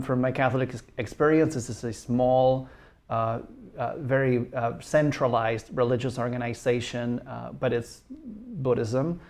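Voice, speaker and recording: Man with measured, hesitant phrasing. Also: mid-range voice, vocal fry, darkish tone. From Argentina. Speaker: lecturer answering audience questions. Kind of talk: casual personal storytelling.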